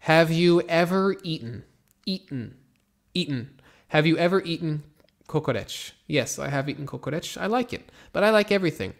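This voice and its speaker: Young American teacher from Florida, laid-back Q&A delivery, a little fry in the voice. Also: male voice, a little high, normal speed. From Florida, USA. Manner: relaxed, rambling, many ums and restarts. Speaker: English teacher answering viewer questions. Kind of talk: casual Q&A, reading comments aloud.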